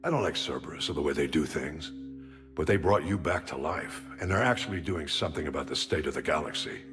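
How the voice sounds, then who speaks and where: An actor playing a stern but loyal older military admiral in a science fiction game, speaking with measured, grave authority. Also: male voice, very deep voice. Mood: supportive, resolute. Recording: music under the dialogue.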